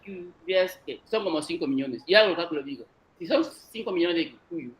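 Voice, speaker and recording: A man's voice: a little high, animated, moderate pace, low-quality recording. A man from Equatorial Guinea, whose first language is an African one, gives an unscripted lecture at a cultural conference, arguing his points emphatically and speaking straight to the audience. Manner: emphatic, argumentative, addresses the audience directly.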